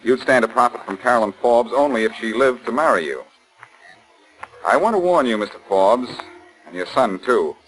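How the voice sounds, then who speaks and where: An actor playing a brash, confident amateur sleuth in 1940s radio style, blunt and insistent. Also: male voice, mid-range voice, lively intonation, moderate pace, close to an everyday voice, low-quality recording. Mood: accusing. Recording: 1940s radio broadcast recording.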